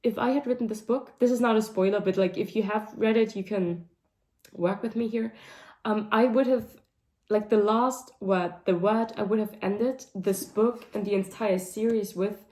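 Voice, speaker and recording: A woman with a hoarse, raspy voice talking casually through a book wrap-up, with lots of "like" and "um" fillers. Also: mid-range voice, a little slow, darkish tone. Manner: rambling, full of "like" and "um" fillers. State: hoarse, voice sounding deep and raspy. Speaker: book vlogger. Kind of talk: casual monthly reading wrap-up chat. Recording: roomy echo.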